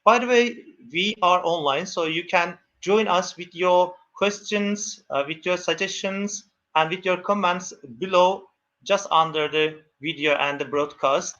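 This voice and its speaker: Slow, high-voiced male stream host. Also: pushed and bright. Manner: slow, halting, many fillers and restarts. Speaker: host of a live English practice stream. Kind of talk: live stream hosting, interviewing a guest. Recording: dull lo-fi stream audio.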